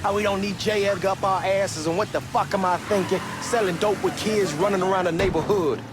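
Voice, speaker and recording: An actor playing a cocky, talkative young hustler with an American Southern accent in a video game, speaking in loose slang. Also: male voice, high voice, steady tone, brisk, music in the background, natural voice. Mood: eager, defensive. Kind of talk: acted game dialogue, casual banter. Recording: car engine and street noise behind. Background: moving car ambience.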